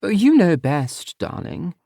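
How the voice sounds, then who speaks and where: A simpering, genteel mother in an audiobook, as a male reader puts her on. She draws her words out slowly and sweetly, her pitch rising and falling a great deal. The sound is dry and close. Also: big pitch swings, creaky, warm tone, no room sound, full character voice. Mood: cloying. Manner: simpering, slow and cloying. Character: genteel fussing mother, older woman.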